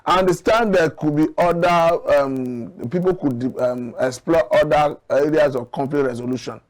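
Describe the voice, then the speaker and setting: A man with a Nigerian accent giving current affairs commentary as a guest analyst, measured and earnest as he argues his points. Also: fairly high pitch.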